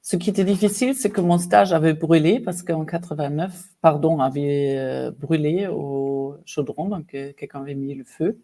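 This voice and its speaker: German-accented woman, mellow storyteller. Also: deep voice, moderate pace, hardly any pauses. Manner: lively, animated, many fillers and restarts. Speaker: guest, executive coach. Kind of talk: casual storytelling interview.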